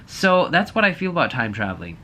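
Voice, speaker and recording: Bright-voiced man giving a casual review. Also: fairly high pitch, very animated, brisk, a little hiss or hum. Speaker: movie reviewer host. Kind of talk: casual opinionated review to camera.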